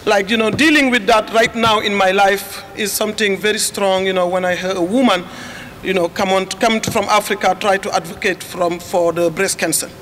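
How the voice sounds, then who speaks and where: A woman from Senegal with a Senegalese accent, giving an earnest, halting welcome speech. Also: medium pitch, fairly even intonation, fairly bright tone, slight background noise. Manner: earnest, halting, searching for words. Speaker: chair of a community organization. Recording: echoey hall with faint clicks.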